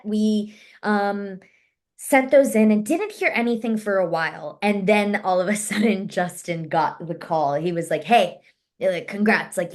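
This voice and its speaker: A woman actress and martial artist, telling her story in a casual interview and acting out the dialogue she quotes. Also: mid-range voice. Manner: animated, acts out quoted dialogue in her stories.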